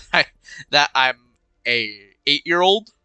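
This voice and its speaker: A man's voice: fairly high pitch, lively intonation, slow, bright, forceful tone, smooth, no echo, soft background music. A young man casually talking through his game, with a slightly congested voice and a habit of rambling and muttering as he weighs his choices. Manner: rambling, muttering to himself while thinking. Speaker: gaming commentator. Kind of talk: casual let's-play commentary. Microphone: cheap desk microphone.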